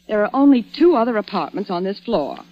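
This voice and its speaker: A woman's voice: medium pitch, big pitch swings, brisk, close to an everyday voice. Lady playing a fed up young society gal, scolding and coaxing, theatrical old radio drama, muffled. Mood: chiding. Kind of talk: vintage radio drama acting. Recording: dull old radio recording.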